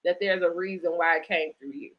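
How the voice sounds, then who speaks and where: A woman with a slightly low voice hosts a live online interview. She talks conversationally and in an affirming, encouraging manner. The sound is a little dull and lo-fi, like a livestream. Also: a little fast. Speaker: host interviewing an author.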